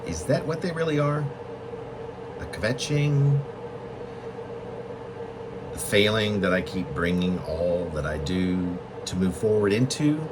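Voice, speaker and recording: A man reading his own literary poem as a reflective monologue, in halting, deliberate phrases with pauses mid-thought. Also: on the low side, background noise. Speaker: poet reading own work.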